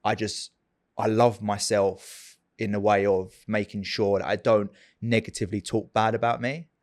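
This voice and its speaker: Low-voiced young man with London accent. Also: little pitch movement, moderate pace, dry recording, clean studio sound. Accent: Essex/London English. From England. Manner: earnest, repeats words for emphasis. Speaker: guest. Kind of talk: casual chat.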